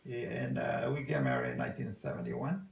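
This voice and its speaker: Salvadoran guy in his 60s, gravelly voice, hesitant english with lots of uhs, echoey room. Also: medium pitch, huge pitch swings, a little hiss or hum. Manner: halting, many uh fillers, non-native English. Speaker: interviewee. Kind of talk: casual family interview.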